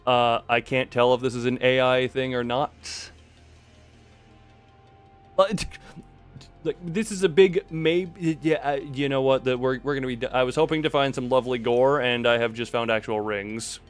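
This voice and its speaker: A man's voice: medium pitch, normal speed, smooth. A man giving live gameplay commentary with a wry, sarcastic manner and playful exclamations. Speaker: game streamer. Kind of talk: live gameplay commentary, reading dialogue aloud. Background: game music.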